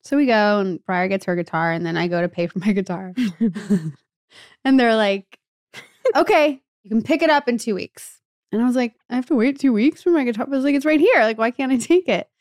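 High-voiced American woman telling casual stories. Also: a little fast, no echo, studio quality. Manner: animated storyteller, peppers talk with "like" and quoted dialogue. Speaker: podcast co-host. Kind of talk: casual anecdotal chat.

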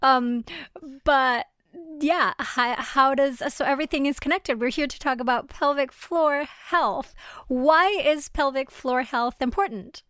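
Bubbly high-voiced woman from Venezuela. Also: unhurried, fairly bright tone, no echo, studio quality. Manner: bubbly, chatty, stumbles over words. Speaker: podcast host. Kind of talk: casual interview.

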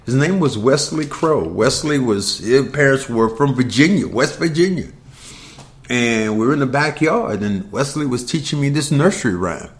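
A man's voice: medium pitch, moderate pace. Older man telling childhood stories. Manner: storytelling, animated when quoting others. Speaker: podcast co-host. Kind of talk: casual conversation.

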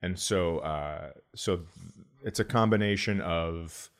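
Low-voiced man with dry comic delivery. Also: slow. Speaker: comedian and podcast co-host. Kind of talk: casual comedic podcast chat.

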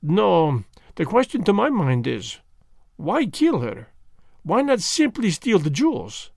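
A man's voice: high-pitched, huge pitch swings, slow pace, creaky, a little mellow, no echo, clean studio sound, heavily acted. A man reading the part of a fussy, vain elderly Belgian detective who speaks precisely and drops French words. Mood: placid reasoning.

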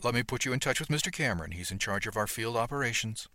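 A man's voice: mid-range voice, lively intonation, fast, sharp tone, no room sound, studio quality, pitched above the performer's own voice. A man voicing a polite, helpful female front-desk greeter answering calls in a story.

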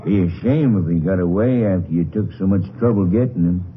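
An actor playing a falsely friendly, menacing rural gang leader in a 1950s radio western, drawling with mock politeness. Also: male voice, low voice, fairly quick, lightly acted. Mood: mock-friendly menace. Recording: muffled, noisy 1950s radio recording.

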